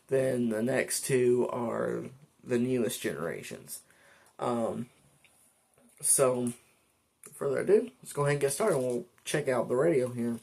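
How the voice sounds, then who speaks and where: Gravelly man giving a casual product demonstration. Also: medium pitch, darkish tone. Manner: halting, informal, step-by-step explaining. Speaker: vlogger reviewing a weather radio. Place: indoors at a desk.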